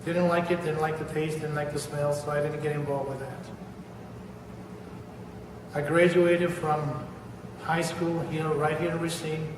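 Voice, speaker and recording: An older man with an Argentine accent gives his testimony, earnest and deliberate, leaning on repeated words. His voice sits a little high for a man and sounds distant from the mic. It rings through a long, echoey hall over steady background noise. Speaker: church member giving testimony. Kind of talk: personal religious testimony. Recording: echoey hall, voice from far off, noisy.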